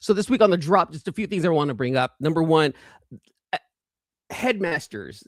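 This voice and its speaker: A man's voice: fairly high pitch, animated, normal speed. A man hosting a live collecting show, chatting casually and enthusiastically in informal slang, often repeating phrases for emphasis. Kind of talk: casual live-stream chat showing off comics.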